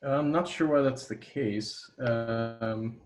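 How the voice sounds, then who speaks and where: A man's voice: medium pitch, slow pace. A man acting as technical host of a webinar, giving informal practical instructions over a video call.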